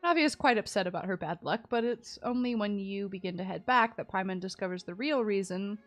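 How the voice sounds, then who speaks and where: A woman's voice: medium pitch, fairly quick, no room sound. A woman live streaming a game, chatting casually and playfully with cutesy slang. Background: soft background music.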